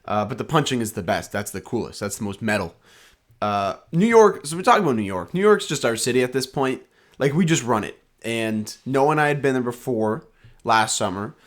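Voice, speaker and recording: Young man, podcast co-host, loose rambling delivery, joking, mid-pitched voice. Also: lively intonation, fairly quick. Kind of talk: casual sports chat.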